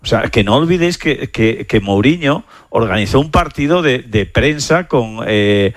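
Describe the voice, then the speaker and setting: A man speaks as a football pundit on a talk panel in an even, middle voice. He is chatty and halting, repeating words as he searches for them. The studio sound is dry. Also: mid-range voice, no echo. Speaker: sports pundit.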